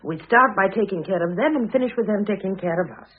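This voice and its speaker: Mature wife, husky, animated intonation, wry, affectionate, old radio drama on a tinny band. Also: female voice, medium pitch, fast talker. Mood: gentle, reflective. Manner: gentle, teasing. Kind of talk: classic British radio drama acting. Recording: muffled phone-like old radio recording.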